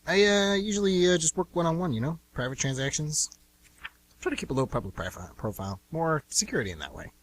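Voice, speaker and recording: A woman playing a secretive, touchy younger brother with a husky voice and evasive, hesitant delivery in an amateur radio drama. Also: low voice, very animated, fast talker, slight background noise. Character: shifty, defensive younger brother, secretive grown man.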